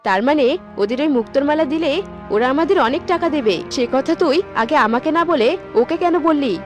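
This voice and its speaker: A woman's voice: high-pitched, lively intonation, brisk. A woman voicing a greedy, nagging mother in a fairy-tale cartoon, speaking emphatically. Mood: greedy scolding. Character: greedy, scolding mother dreaming of riches. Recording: faint music under the voice.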